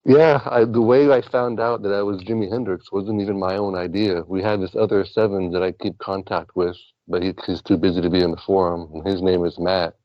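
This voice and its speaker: A man's voice: low voice, steady tone, fairly quick, hardly any pauses, no room sound, lo-fi sound. A man talking casually and earnestly as a talk show guest, rambling as he goes. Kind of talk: casual rambling talk about past lives and spirituality.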